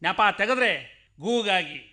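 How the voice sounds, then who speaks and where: An actor in a radio play playing a stubborn, superstitious shepherd with a rural Karnataka accent, admonishing in emphatic, folksy speech. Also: male voice, sits high, animated, unhurried, pushed and bright, full character voice. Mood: ominous warning. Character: stubborn superstitious rustic shepherd, fervent devotee of village goddess.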